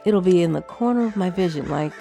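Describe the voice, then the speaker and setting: A woman playing a brisk, businesslike wizard who owns a tower, in a fantasy audio drama. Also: lowish voice, lively intonation, gravelly, mellow, faint music behind. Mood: brisk, matter-of-fact.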